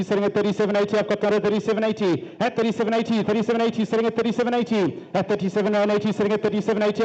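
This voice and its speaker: A man calls a livestock auction in a rapid, high chant, repeating each bid in a tight rhythm. The audio is dull and lo-fi, like a livestream feed. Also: darkish tone. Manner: rhythmic repetitive bid calling. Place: livestock sale ring.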